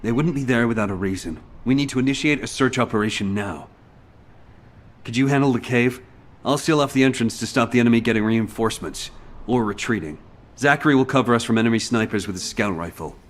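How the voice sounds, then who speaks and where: A man playing an analytical, decisive military field leader in a video game, giving a measured, businesslike briefing. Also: medium pitch, lightly acted. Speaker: mission briefer.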